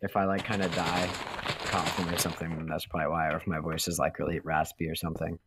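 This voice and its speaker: A young man joining a game over voice chat, relaxed and chatty, getting over the flu. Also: low-pitched, fast, heavy background noise. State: recovering from flu. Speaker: co-player. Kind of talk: casual chat over voice call.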